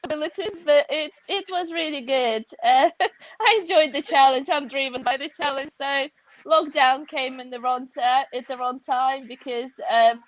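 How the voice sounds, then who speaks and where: A woman's voice: high-pitched, moderate pace, dry recording, dull audio. A woman from Russia with a Russian accent, a guest author speaking candidly and reflectively, often laughing, over a video call.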